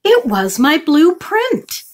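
A woman's voice: sits high, sing-song, slow pace, voice pushed up, full character voice. A woman voicing an indignant, aggrieved farm aunt in a storybook, exclaiming emphatically.

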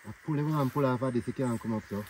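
A man's voice: mid-range voice, quick pace, a crowd in the background. A man talking casually as he works outdoors, picked up by a distant phone. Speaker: farm worker. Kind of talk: casual talk while working. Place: outdoors in an overgrown pasture. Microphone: distant phone camera. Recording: distant from mic, loud outdoor noise.

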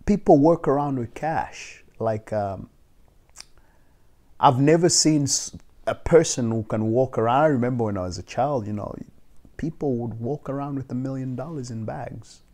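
A man's voice: medium pitch, darkish tone. A man in his twenties, raised in South Africa, being interviewed on a living-room couch, talking thoughtfully with frequent restarts. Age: 20s. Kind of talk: casual sit-down interview. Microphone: lapel mic.

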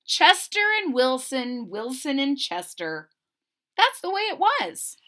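A middle-aged woman reading a picture book aloud to children, expressive and deliberate, pausing to question her listeners. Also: high-pitched. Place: small indoor room at home.

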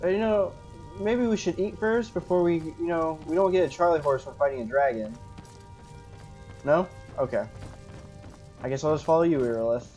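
A man's voice: fairly high pitch, normal speed, darkish tone. A man giving casual role-play commentary over a video game, making laid-back, wry asides in character. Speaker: gamer role-playing his character. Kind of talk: casual roleplay gameplay commentary. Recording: game music and sounds behind. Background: video game audio.

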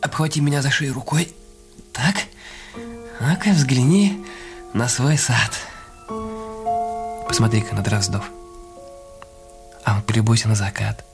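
Radio play role: anxious, devoted husband, played by a male actor, gravelly mid-range voice, halting, coaxing delivery, music behind. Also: mid-range voice, lightly acted. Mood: gently coaxing. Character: anxious, tender husband nursing his ailing wife. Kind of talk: radio drama acting.

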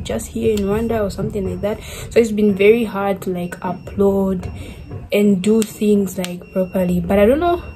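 A woman's voice: medium pitch, mellow, slight background noise, rough recording. A young woman from Zambia vlogging casually to the camera indoors, rambling with lots of "like" fillers. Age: young adult. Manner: chatty, rambling, many fillers like "like". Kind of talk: casual vlog talk to camera. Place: bedroom, indoors.

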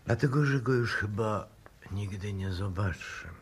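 An actor playing a grave, resolute scientist in a 1960s radio drama, speaking in a measured, courteous, solemn way with a husky voice. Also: male voice, on the low side. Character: grave, resolute scientist disillusioned with his work.

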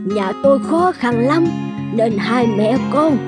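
Humble old village woman, folk-tale cartoon; high, unhurried, gently plaintive delivery over music. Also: lively intonation. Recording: music behind the voice.

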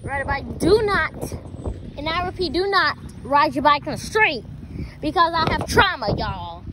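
Excitable high-voiced woman on a street. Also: moderate pace. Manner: excitable, jumpy chatter. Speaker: vlogger. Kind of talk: casual chat to viewers. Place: residential street outdoors. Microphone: handheld phone. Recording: loud outdoor street noise.

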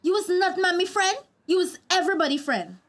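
A young village woman with a Tobagonian accent, a defiant grown daughter. Her voice is high and her manner cheeky and confrontational, in radio drama style. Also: animated, fairly quick, fairly bright tone. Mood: insulting, angry.

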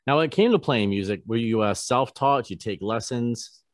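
A man in his forties, a radio host casually interviewing a musician over a video call, with halting questions full of fillers. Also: medium pitch, lively intonation, no room sound, studio quality. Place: home studio, posters on wall. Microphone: large studio microphone, webcam.

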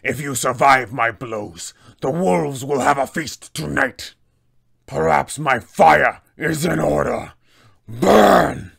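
A man's voice: a little high, vocal fry, a bit bright, husky, voice pushed up, exaggerated voice. A man voicing a big, menacing villain enforcer from a tabletop game, threatening and commanding in his delivery. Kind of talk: acted tabletop villain dialogue.

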